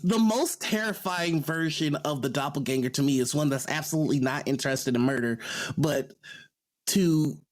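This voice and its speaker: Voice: mid-range voice, moderate pace. A panelist in a casual tabletop game discussion, explaining ideas conversationally through examples.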